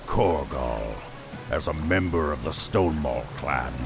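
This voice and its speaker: A man's voice: extremely low pitch, lively intonation, a little slow, vocal fry, over music, heavily acted. A man playing a stoic, honourable half-ogre warrior in a fantasy game, speaking sternly and formally. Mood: grave, resolved.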